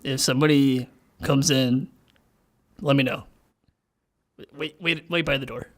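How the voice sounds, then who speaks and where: A man's voice: medium pitch, steady tone, measured pace, a bit bright. A man playing a tabletop game, talking casually and thinking aloud as he tentatively decides his moves.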